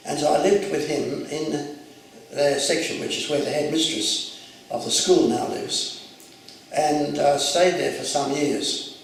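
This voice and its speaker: A retired clergyman in his eighties, first raised speaking Arabic, looks back on his childhood in a calm, measured way. His voice is somewhat high and gravelly. It sounds far from the mic in a very echoey room with a faint hiss. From Jerusalem, Mandatory Palestine. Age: 80s. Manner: measured, reflective storytelling. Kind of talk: oral history recollection.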